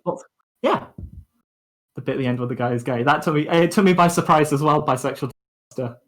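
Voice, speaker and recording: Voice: mid-range voice, fast talker. A young adult chatting casually as co-host of a live stream. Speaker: co-host of a student gaming charity-style stream.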